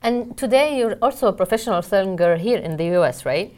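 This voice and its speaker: A woman interviewer in casual conversation, appreciative and phrasing her questions haltingly. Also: mid-range voice, animated, a little mellow.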